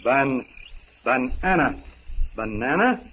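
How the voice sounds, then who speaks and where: A male actor playing a wild ape-man learning speech in a 1930s radio serial, speaking in halting broken words. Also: medium pitch, expressive, fairly bright tone, muffled recording. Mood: eager, questioning. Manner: halting, broken one- and two-word utterances. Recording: scratchy, band-limited 1930s radio recording.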